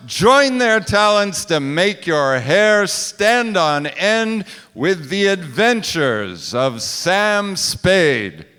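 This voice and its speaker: A man's voice: sits high, pushed and bright, clear, resonant tone. A man announcing in a theatrical, emphatic 1940s radio style, reading scripted introductions and advertising copy. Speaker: radio play director and announcer. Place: stage of a large hall. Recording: echoey stage hall, music behind.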